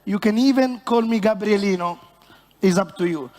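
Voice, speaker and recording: A man with an Italian accent, a bartender presenting on stage in a joking, halting way with many fillers. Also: high voice, a little mellow. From Italy. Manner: halting and playful, many fillers, self-mocking banter. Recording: long hall reverb.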